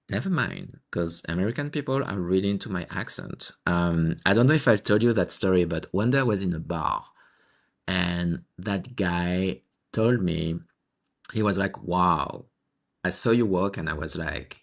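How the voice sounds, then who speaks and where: A man from France with a strong French accent, co-hosting a podcast and telling stories in a relaxed, chatty way with lots of restarts. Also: low voice, a little slow, creaky. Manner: animated storytelling with frequent restarts and laughs. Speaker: podcast co-host. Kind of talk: casual podcast chat.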